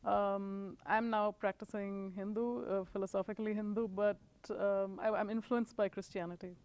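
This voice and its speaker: A woman in her forties, from India, recalls her life in a recorded interview. Her pitch sits in the middle, neither high nor low. She talks in a measured, reflective way and lets her sentences trail off quietly. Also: flat delivery. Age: 40s. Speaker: interviewee, author and professor. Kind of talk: recorded oral history interview.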